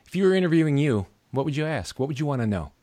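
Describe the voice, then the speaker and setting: A man's voice: on the high side, sing-song, racing, mellow, dry recording. A man interviewing a guest, asking questions in an encouraging, conversational way. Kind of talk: casual interview chat.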